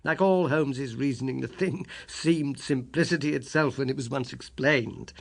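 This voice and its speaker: A British man narrating a literary story in the first person, with a husky, creaky voice and an expressive, emphatic delivery. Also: medium pitch, normal speed. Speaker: audiobook narrator.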